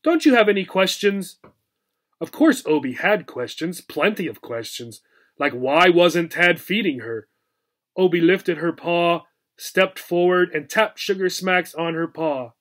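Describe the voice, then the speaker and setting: Male teacher, high-pitched voice, expressive children's storybook narration. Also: a little slow. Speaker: teacher reading a children's book aloud.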